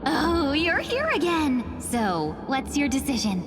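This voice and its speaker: Eager, scheming young merchant. High, lively, persuasive, over a loud game score. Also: female voice, slow, heavily acted. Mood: coaxing. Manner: sweet-talking, eager salesperson. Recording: loud game music behind.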